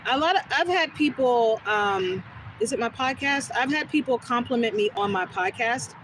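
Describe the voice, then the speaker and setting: A woman with a smooth, soothing voice, chatting casually with viewers during a live stream and answering their comments as she goes. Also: on the high side, normal speed. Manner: relaxed, rambling, talks back to chat comments. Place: outdoors on a quiet street. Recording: noisy outdoor phone recording.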